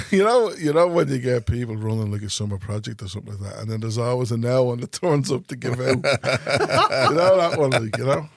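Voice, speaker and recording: Middle-aged North Side Dublin man, podcast host, lively anecdote-teller, dry close sound. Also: mid-range voice, fairly quick, gravelly, no room sound. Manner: animated storyteller, laughs often and slips into impressions. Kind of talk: casual chat.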